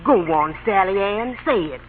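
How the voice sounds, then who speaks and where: A woman's voice: medium pitch, animated, moderate pace, a loud crowd, natural voice. An actress playing a bossy, scolding Southern mother in an old radio drama, folksy, with an American Southern accent. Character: bossy Southern mammy prodding a shy child. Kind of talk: 1940s radio drama acting. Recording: very noisy, tinny old radio broadcast.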